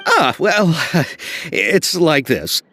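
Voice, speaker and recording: A man's voice: on the high side, very animated, very slow, sharp tone, soft background music, heavily acted. A man playing an earnest, flustered young film director in a video game, polite and a little hesitant. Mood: nervous. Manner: polite, hesitant, nervously apologetic.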